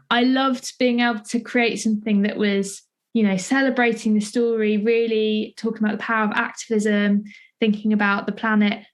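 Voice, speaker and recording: A young British woman conversationally interviewing, with a tentative, discursive way of thinking aloud. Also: mid-range voice. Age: young adult. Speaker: podcast interviewer and playwright.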